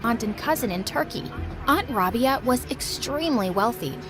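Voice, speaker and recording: A woman playing a kind, nervous teenage girl who narrates her own story in an animated video. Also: fairly high pitch, normal speed, lightly acted. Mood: bright storytelling. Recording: music under the voice.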